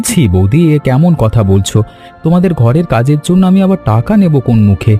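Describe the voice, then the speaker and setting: Actor playing an eager, helpful young village man, mellow. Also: a little high, big pitch swings, brisk, faint music behind. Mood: insistent. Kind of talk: animated story dialogue.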